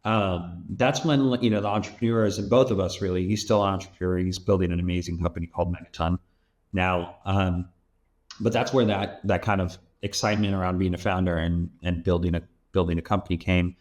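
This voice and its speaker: Chill low-voiced dude from LA, thirties, says "like" a lot, kinda rambly. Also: male voice, steady tone, brisk, darkish tone. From Los Angeles, USA. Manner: rambling and loose, heavy use of "like" and "um". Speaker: venture capital investor, guest. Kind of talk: casual interview talk.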